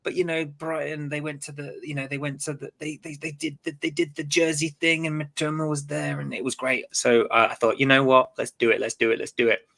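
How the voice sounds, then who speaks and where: A man's voice: on the high side, level tone, brisk, vocal fry, hardly any pauses, sharp tone. A man hosting a live-stream fan podcast, chatty and loose, often repeating words as he goes. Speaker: podcast host. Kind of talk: casual live-stream chat.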